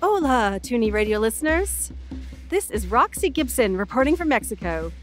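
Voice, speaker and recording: A woman's voice: a little high, big pitch swings, normal speed, music in the background. An actress playing an earnest woman field reporter recording a travel report in an audio drama. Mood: earnest, announcing.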